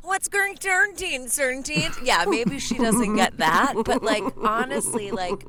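A woman's voice: high voice, expressive, measured pace, a loud crowd. A woman guest on a podcast, talking in a chatty, rambling way and laughing often, recorded at home with headphones on. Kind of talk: casual podcast chat. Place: home recording setup in a hallway space. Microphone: studio mic with headphones.

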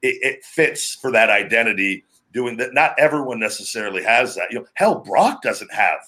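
A man with a heavy, booming voice, talking shop on a casual podcast. He rambles freely, circling back on his thoughts, at a mid pitch for a man. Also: lively intonation, normal speed, sharp tone. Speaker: podcast host, former pro wrestler.

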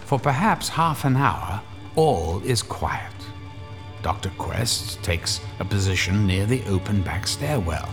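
An actor playing an ominous storyteller, narrating a horror drama in a suspenseful, deliberate manner. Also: male voice, on the low side, expressive, creaky, soft background music, full character voice. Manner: suspenseful, deliberate, drops toward whispers.

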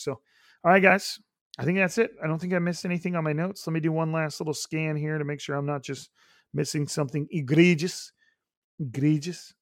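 A young man hosting a solo podcast, his voice raspy and hoarse, rambling casually with many fillers. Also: fairly high pitch, dry recording, clean studio sound. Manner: rambling, upbeat, lots of fillers. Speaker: podcast host.

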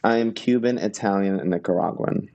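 A young adult man from Miami, a drag performer guesting on a podcast, chatting playfully with campy quick wit. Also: on the low side, gravelly, mellow, dull audio. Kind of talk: casual chat.

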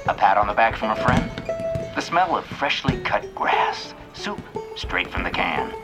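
An actor playing a folksy, rambling radio show narrator, confiding and cheerfully odd in an old-time radio manner. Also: male voice, lowish voice, very animated, measured pace. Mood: musing. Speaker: narrator host. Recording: loud music under the voice.

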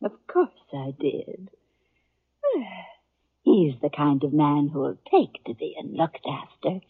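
An actress playing a brisk, no-nonsense older frontier aunt with a husky voice and tart, matter-of-fact delivery in 1950s radio western style. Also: female voice, low-pitched, big pitch swings, heavily acted. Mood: brisk, knowing. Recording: muffled 1950s radio recording.

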